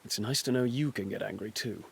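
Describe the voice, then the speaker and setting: A man's voice: mid-range voice, brisk, vocal fry, breathy edge. Casual, blunt male companion. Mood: easygoing. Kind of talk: video game cutscene acting.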